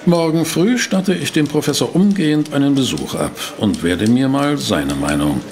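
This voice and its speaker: An actor playing a courteous, inquisitive newspaper reporter in a German audio drama, speaking politely and formally. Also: male voice, mid-range voice, expressive, moderate pace, darkish tone, slight background noise, natural voice. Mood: curious, courteous. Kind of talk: German audio drama acting and first-person narration.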